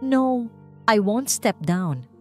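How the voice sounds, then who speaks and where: A woman voicing a desperate male CEO barking orders into a phone, slow and tense. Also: mid-range voice, animated, soft background music.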